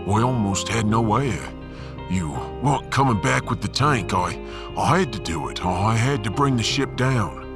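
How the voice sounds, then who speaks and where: Man doing a very deep, earnest workman. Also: expressive. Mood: remorseful, earnest. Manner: halting, earnest, plain-spoken. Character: big, remorseful, rough-hewn Australian refugee handyman, former miner. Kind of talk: sci-fi audiobook character acting. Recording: music behind the voice.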